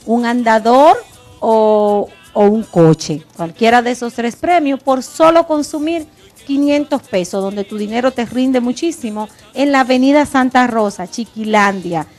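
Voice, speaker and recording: A woman co-hosting a TV show on a handheld mic, lively and quick to laugh. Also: on the high side. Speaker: TV talk show co-host. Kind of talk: reading ads and casual chat. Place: TV studio set. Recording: TV broadcast with background music.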